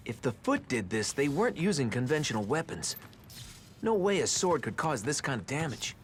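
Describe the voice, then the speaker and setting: A man's voice: mid-range voice, big pitch swings, normal speed, slight background noise, lightly acted. A man voicing a serious, decisive teenage mutant turtle leader in a cartoon game, commanding and earnest. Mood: serious, focused. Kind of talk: cartoon video game acting.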